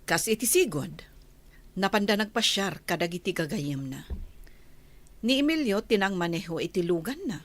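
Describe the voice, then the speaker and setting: A woman's voice: fairly low pitch, sing-song, normal speed, long pauses, dry recording. A woman with an Ilocano accent playing a proud, commanding mistress of a mansion in a radio drama.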